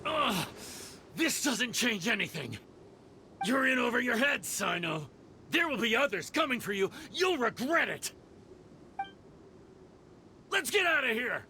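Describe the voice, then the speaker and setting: A smug, sly mercenary. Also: male voice, very high-pitched, very animated, a little slow, pauses often, bright, forceful tone, a little hiss or hum, exaggerated voice. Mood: bitter. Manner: smooth persuasive talk with mocking laughs. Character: smug male mercenary negotiator, sly and threatening.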